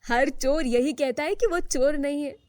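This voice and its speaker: Wary village father, read by a woman: stern, emphatic, protective, softly mellow, horror storybook. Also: sits high, moderate pace, dry recording, studio quality, close to an everyday voice. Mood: defiant, wary.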